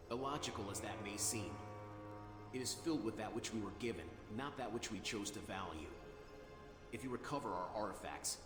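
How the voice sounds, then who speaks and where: A man voicing a solemn, logical machine-being guarding a tomb in a video game, speaking formally and gravely. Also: on the low side. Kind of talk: video game quest dialogue. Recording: long hall reverb, music behind. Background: game music.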